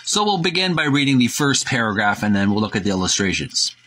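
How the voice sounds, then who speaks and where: A man hosting a casual narrated show-and-tell, partly reading aloud, in a halting way with repeats and self-corrections. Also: medium pitch, sing-song, background noise. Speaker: video host.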